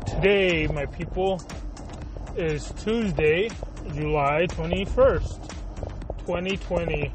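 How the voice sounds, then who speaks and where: A man hosts a podcast, talking slowly in a fairly high voice and stopping often to search for words. A clearly audible music bed runs under his casual monologue. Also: low-quality recording. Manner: halting, full of fillers and restarts, searching for words. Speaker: podcast host.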